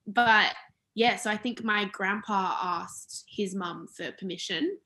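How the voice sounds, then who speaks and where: A woman's voice: medium pitch, slow, pushed and bright. A young woman talking casually about her family's history, hesitant with many fillers and restarts. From Australia. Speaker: interviewee.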